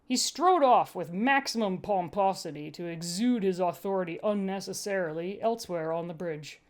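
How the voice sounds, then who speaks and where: A woman's voice: lowish voice, normal speed. A woman narrating a first-person story as a podfic reader, with a dry, wry delivery.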